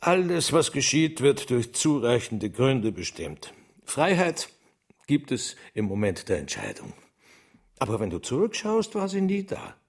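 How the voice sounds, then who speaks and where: An actor in a radio drama playing a frail, obsessive, childlike genius husband, earnest and precise, with a husky voice. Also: male voice, mid-range voice, wildly swooping pitch, unhurried, heavily acted. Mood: earnest, resigned. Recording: studio radio play, faint music.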